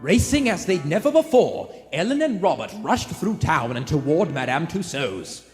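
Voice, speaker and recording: A man's voice: a little high, very animated, moderate pace. A man playing the dramatic narrator of a suspense radio play, theatrical in manner. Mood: urgent. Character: dramatic storyteller of a suspense thriller. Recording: live theater hall with music.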